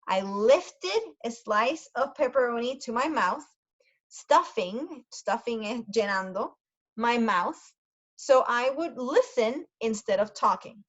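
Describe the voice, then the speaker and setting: A woman reading a story aloud as a teacher, carefully pausing to explain words for language learners. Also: a little high, fairly bright tone. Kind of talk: read-aloud storytelling with brief translations. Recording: dull lo-fi sound.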